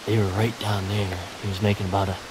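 A young man outdoors in the woods casually recapping a hunt, with halting restarts. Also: lowish voice, level tone, noisy background. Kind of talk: casual chat in the field.

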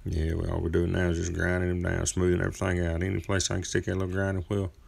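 A man in his thirties with a deep, heavily gravelly voice talks through his carving work outdoors. He keeps a flat, even tone and rambles in a relaxed, folksy way, full of small fillers. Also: fast talker. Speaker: chainsaw carver. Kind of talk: casual workshop narration. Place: outdoor driveway workspace.

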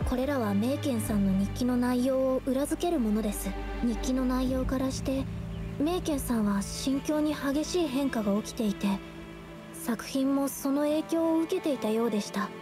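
A polite young female artist from an anime game, acted by a woman. She is gentle and earnest in a high voice, with music clearly behind her. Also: moderate pace, natural voice. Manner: soft-spoken, polite, earnest. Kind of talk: anime-style game voice acting.

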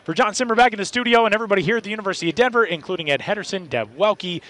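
A man's voice: high-pitched, very fast, a bit bright. A man hosting a college basketball broadcast from courtside in an arena, interviewing the coach and then signing off with the final score in a polished announcer's delivery. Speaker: play-by-play broadcaster. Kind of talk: post-game broadcast interview and sign-off.

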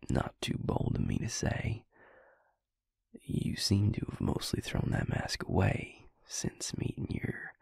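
A man's voice: deep voice, creaky, a little mellow, slightly rough, no echo, heavily acted. A man playing an easygoing, teasing vampire with a Southern US accent and a dry drawl in an audio roleplay. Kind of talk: intimate audio roleplay acting.

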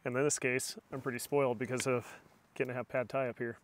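A man's voice: medium pitch, quick pace, a little hiss or hum. A middle-aged man chatting casually and telling stories on a camping vlog, recorded inside a small tent at night. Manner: relaxed storytelling, chatty. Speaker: outdoor vlogger. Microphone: camera on a tripod, then close phone at arm's length.

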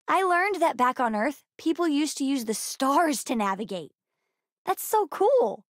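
A woman voicing a bright, bookish, sassy young girl in a video game, earnest, chatty and precocious. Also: high-pitched, dry recording, clean studio sound, heavily acted. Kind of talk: video game character dialogue.

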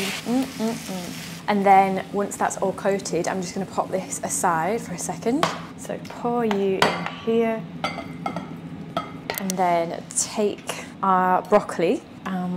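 A woman's voice: fairly low pitch, background noise. A young woman in her twenties chatting casually while she cooks in a home kitchen, wearing a clip-on mic. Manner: chatty, bubbly, talks while cooking. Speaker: fitness and cooking creator. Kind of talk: casual cooking demonstration. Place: bright home kitchen indoors.

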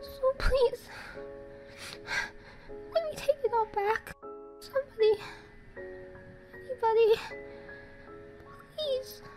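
A woman playing a frightened, remorseful child, halting and tearful, in a dramatic comic dub. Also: extremely high pitch, over music.

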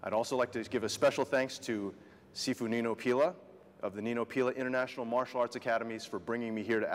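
A man teaching as an instructor, calmly walking through a demonstration step by step, explaining posture cues to camera. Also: mid-range voice. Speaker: fitness and rehab instructor. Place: clinic studio with long reverb. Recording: reverberant room sound.

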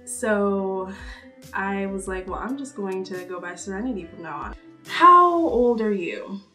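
A woman's voice: medium pitch, lively intonation, measured pace, sharp tone, far from the mic, faint music behind. A woman in her twenties talking casually to camera in a get-to-know-me vlog, upbeat and chatty with lots of "like" fillers. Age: 20s. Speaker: YouTuber. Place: indoor room, seated before purple backdrop.

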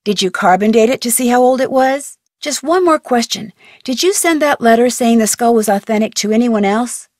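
An earnest young female detective, played by a woman in a video game, politely firing off question after question. Her voice sits a touch high and is recorded dry and close in a studio. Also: normal speed, a little mellow, dry recording, natural voice. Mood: curious. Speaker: player character.

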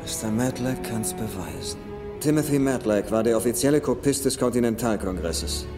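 Guy acting a smart treasure hunter in a film, thinking out loud, big echoey hall, music behind. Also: mid-range voice, natural voice. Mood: excited deduction. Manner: thinking aloud, halting then excited. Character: brainy, principled male treasure hunter. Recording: long hall reverb under film music.

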